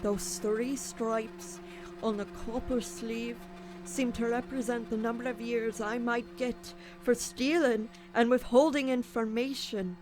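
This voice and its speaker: A woman's voice: fairly high pitch, steady tone, natural voice. A woman playing a guilt-ridden, tormented young thief in a radio drama, acting scenes and narrating in the first person. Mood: brooding narration. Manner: tormented, brooding, deliberate.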